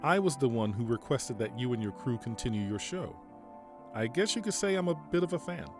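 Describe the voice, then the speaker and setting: Guy playing stern wasteland general, formal n measured but pitch moves around a lot, music behind. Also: lively intonation, lightly acted. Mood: formal approval. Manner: formal, measured, deliberate speech. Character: stern, principled post-apocalyptic army general, composed and formal. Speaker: military general giving a radio interview. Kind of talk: audio drama acting.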